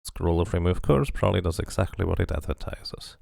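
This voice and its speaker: Low-voiced, animated man streaming a game. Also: brisk, gravelly, no echo, clean studio sound. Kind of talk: casual playthrough commentary and reading game text aloud.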